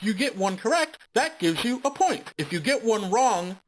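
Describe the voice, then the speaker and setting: A man voicing a sassy, smarmy animated quiz-host creature in a tabletop game, with cutesy, cheeky patter. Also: high voice, normal speed, a little hiss or hum, voice pushed up, low-quality recording.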